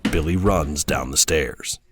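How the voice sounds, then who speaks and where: A man's voice: a bass voice, takes it slowly. A man narrating an audio drama in a scripted storytelling style, with dramatic music behind him.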